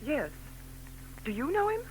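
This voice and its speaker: An actress playing an anxious, soft-hearted young wife with a concerned, pleading manner in a 1940s radio drama. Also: female voice, high voice, very animated, measured pace, a little hiss or hum, poor audio. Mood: gently protesting. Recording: old 1940s radio recording.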